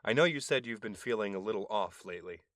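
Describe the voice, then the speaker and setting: An actor playing a friendly alien advisor who teases in a lively way. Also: male voice, fairly low pitch, fast talker, no echo. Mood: teasing.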